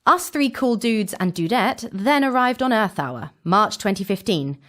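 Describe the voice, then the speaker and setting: A woman's voice: medium pitch, lively intonation, measured pace, fairly bright tone. A woman playing a breezy, wry time-travelling companion, narrating an audio drama recap with casual, chatty asides.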